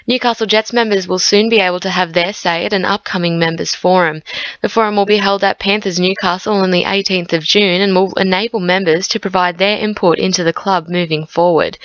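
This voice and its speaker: A woman's voice: lowish voice, fairly bright tone, dry recording, highs cut off. A woman with an Australian accent reading a scripted sports bulletin. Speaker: sports newsreader.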